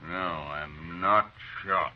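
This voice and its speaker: An actor playing a drunk, stubborn ranch owner from the South, blunt and terse, in an old-time radio western. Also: male voice, deep voice, fairly even intonation, very slow. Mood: drunk, insistent. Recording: muffled, noisy old radio recording.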